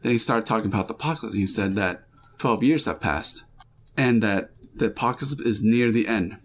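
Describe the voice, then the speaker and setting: Low-voiced man reading a dream story. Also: brisk, a little hiss or hum. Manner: plain reading with small slips and restarts. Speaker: podcast host reading a listener's dream. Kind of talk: first-person story reading.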